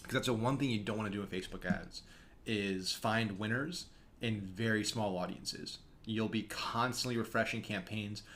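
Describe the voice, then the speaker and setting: A young man teaching an online course over a screen share, talking quickly and casually with frequent fillers. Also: on the low side, a bit bright, slight background noise. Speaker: course instructor. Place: small room, indoors.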